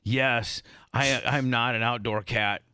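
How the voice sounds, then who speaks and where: A man hosting a morning radio show, talking casually in halting, rambling bursts with many restarts, sounding a bit congested. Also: medium pitch, steady tone, bright, forceful tone, clear, resonant tone, dry recording, clean studio sound. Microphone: studio microphone.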